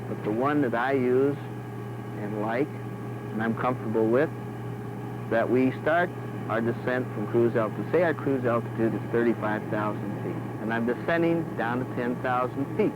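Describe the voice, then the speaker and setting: A man's voice: medium pitch, dull audio. American pilot guy talking thru steps casually, noisy old 70s film audio. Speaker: airline pilot. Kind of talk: informal step-by-step explanation. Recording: noisy 1970s film sound.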